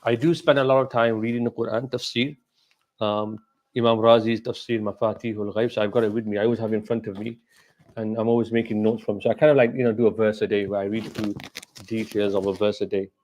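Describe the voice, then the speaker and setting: Middle-aged male scholar, Gujarati mother tongue, lowish voice, informal Q&A delivery, halting and thoughtful. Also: flat delivery, a little mellow, rough recording. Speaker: Islamic scholar hosting a question and answer session. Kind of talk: casual informal question and answer talk.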